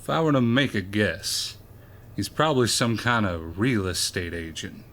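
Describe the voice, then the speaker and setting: A man's voice: on the low side, lively intonation, moderate pace, a bit bright. A man playing a wary, protective small-town diner cook in an audio drama, suspicious and terse.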